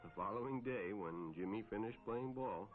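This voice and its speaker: An actor playing an earnest police lieutenant, narrating a cautionary 1950s educational film in a measured, instructive way on a dull old soundtrack. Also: male voice, on the low side, loud music behind the voice, lightly acted. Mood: sober storytelling.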